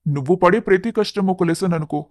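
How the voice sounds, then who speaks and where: A man voicing a level-headed, encouraging young best friend who gives motivating advice in an animated moral story. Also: sits high, brisk, no echo, clean studio sound, lightly acted. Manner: advising, motivational.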